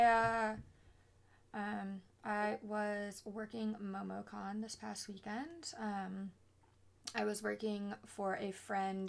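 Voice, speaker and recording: A woman streamer with a hoarse, scratchy voice, chatting casually and rambling with lots of fillers. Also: mid-range voice. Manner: rambling, filler-heavy, mutters to herself.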